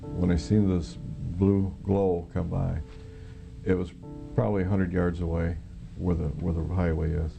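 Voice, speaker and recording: A middle-aged or older American man recounting a personal experience in an interview, halting, plainspoken and matter-of-fact, as a former serviceman would. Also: low voice, little pitch movement, gravelly. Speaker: interviewee, former Air Force air traffic controller. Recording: guitar music playing behind the voice. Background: plucked guitar music.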